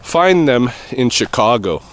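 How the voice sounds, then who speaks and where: A man talking casually to camera while out on an evening walk, thinking aloud in a loose, rambling way with pauses and soft chuckles. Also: mid-range voice, very animated. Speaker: vlogger answering a viewer question. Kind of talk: casual walking vlog. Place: outdoors on an evening walk near traffic.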